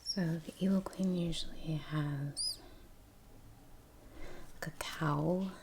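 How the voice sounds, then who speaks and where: A woman speaking in a soft, breathy near-whisper as the host of a relaxing ASMR painting channel. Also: low-pitched, steady tone, takes it slowly, background noise. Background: faint ambience, brush sounds.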